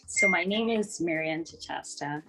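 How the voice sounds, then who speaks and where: A woman, introducing herself casually on a video call, relaxed and easygoing. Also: fairly low pitch, normal speed, over loud music, low-quality recording. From Guyana. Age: 20s. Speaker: guest.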